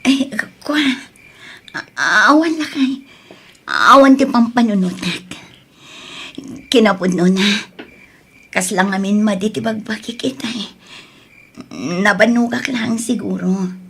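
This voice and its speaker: A woman playing an anguished, secretive wife and mother in a radio drama, speaking haltingly with a whispery, tearful delivery. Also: medium pitch, vocal fry, a bit bright, breathy edge, heavily acted. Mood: nervous, reassuring. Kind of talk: radio drama acting in Ilocano.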